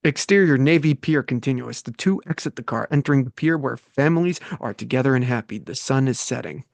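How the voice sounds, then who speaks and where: A man's voice: medium pitch, expressive, a little fast, gravelly, no room sound. A man reading scripted stage directions aloud as the narrator of a table read.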